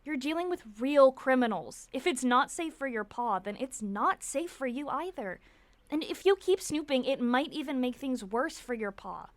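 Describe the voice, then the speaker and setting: Woman acting a high-voiced, earnest teenage girl. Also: normal speed, dry recording. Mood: gently cautioning. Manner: earnest, expressive. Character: caring, sharp-witted teenage girl, loyal confidante. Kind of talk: nostalgic period audio drama acting.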